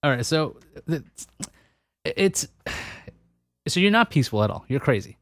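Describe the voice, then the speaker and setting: A man's voice: mid-range voice, sing-song, normal speed, a bit bright, no echo. A man hosting a podcast interview, musing and thinking aloud with frequent restarts. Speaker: podcast host. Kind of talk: casual interview conversation.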